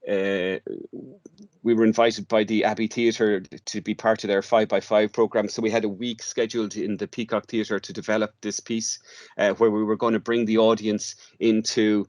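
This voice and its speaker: A man with an Irish accent answering questions in an informal podcast interview, speaking haltingly, repeating words as he searches for the next one. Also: on the low side, level tone, darkish tone, dry recording. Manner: hesitant, halting delivery with repeated words and long searching pauses. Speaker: interview guest, radio drama workshop director.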